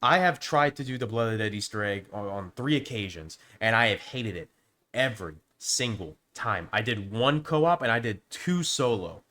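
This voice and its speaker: A man with a sore throat, sounding hoarse as he gives rambling, casual opinions on a video game, often restarting his sentences. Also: lowish voice, lively intonation, moderate pace, sharp tone. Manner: rambling with frequent restarts and stammers. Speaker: gaming youtuber. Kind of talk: casual opinion commentary to camera.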